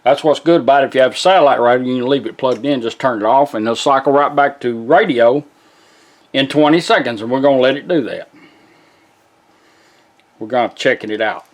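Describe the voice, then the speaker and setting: A man's voice: mid-range voice, brisk, pauses often. A man giving a casual, hands-on product demonstration in a folksy, plain-spoken way.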